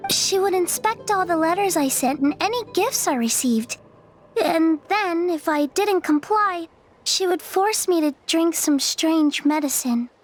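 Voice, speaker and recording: Timid, very high-voiced young girl. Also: animated, measured pace. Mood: sad, fearful. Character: frail, timid, grateful young girl, long mistreated. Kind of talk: video game voice acting. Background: music.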